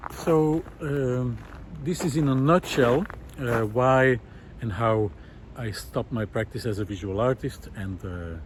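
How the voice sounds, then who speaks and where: A man speaking as a vlogger in a reflective, unscripted monologue outdoors, with earnest, halting, hesitant phrasing. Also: on the low side. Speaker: vlogger, former visual artist. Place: outdoors in a park. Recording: outdoor ambience with clear traffic noise.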